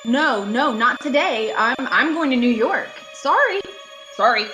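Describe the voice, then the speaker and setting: A woman's voice: sits high, animated, unhurried, pushed and bright, heavily acted, rough recording. Woman acting an uneasy lone motorist over music. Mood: flustered, apologetic. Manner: dramatic first-person storytelling. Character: uneasy, haunted woman motorist driving alone cross-country. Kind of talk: amateur radio thriller acting.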